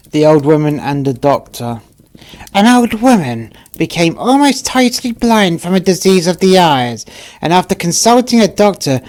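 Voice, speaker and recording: A man reading short fables aloud as a scripted storybook narration. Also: on the high side.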